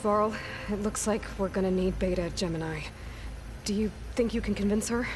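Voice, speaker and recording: A woman's voice: mid-range voice, moderate pace, natural voice. A woman acting a resolute, blunt young hunter in a video game, speaking directly and earnestly. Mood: urgent, probing. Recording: music behind the voice.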